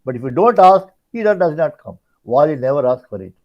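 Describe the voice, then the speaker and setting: An older man with an Indian accent giving an informal religious discourse, retelling stories in halting, repetitive phrases as a spiritual teacher. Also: fairly high pitch, very animated. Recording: dull lo-fi audio.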